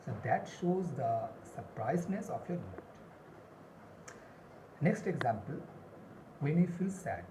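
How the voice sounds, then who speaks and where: Woman, English teacher, lively swooping intonation, deliberate, emphatic repetition, noisy recording. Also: very deep voice. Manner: deliberate, repeats key phrases for emphasis. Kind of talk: instructional lesson with numbered points and examples. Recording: roomy echo, clear background noise.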